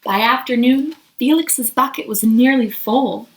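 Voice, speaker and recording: A woman's voice: on the high side, measured pace. A woman reads a children's picture book aloud expressively, putting questions to young listeners. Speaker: storybook reader. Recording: echoey room.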